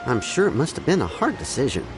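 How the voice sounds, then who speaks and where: A man's voice: medium pitch, huge pitch swings, fast, over music, rough recording. An actor playing an easygoing, good-natured townsman chatting in a video game. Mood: amused, easygoing.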